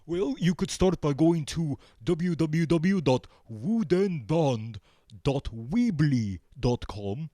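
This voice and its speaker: A man giving a comic interview as a band guest, with a deadpan, halting delivery. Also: a little high, no echo, clean studio sound. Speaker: band member, show guest.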